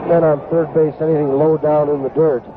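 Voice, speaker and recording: A man's voice: a little high, level tone, a little fast. An American man giving conversational baseball analysis on the radio from a ballpark booth. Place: open-air ballpark booth. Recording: tinny, noisy 1970s broadcast with crowd. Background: ballpark crowd.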